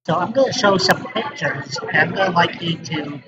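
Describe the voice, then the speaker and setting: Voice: medium pitch, moderate pace, loud music behind the voice. An interviewer asking short, prompting questions in a conversational interview. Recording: dull remote mic with noise.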